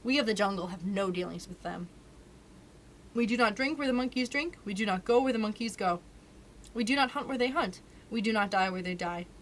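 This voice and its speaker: A woman's voice: medium pitch, fairly quick, slight background noise, lightly acted. A woman voicing an old male brown bear who teaches the law of the jungle, solemn and lecturing.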